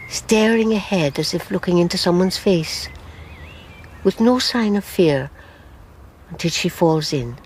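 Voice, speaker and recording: An actress playing an elderly Irish grandmother in a radio drama, recounting her past in a measured, sorrowful, wistful way. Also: low-pitched, a little hiss or hum. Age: older.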